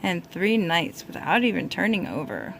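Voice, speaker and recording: Woman reading a storybook, slightly creaky voice. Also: fairly low pitch, sing-song, moderate pace, slight background noise, poor audio. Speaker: parent reading a storybook aloud to a toddler. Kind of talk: storybook read-aloud with asides to a child.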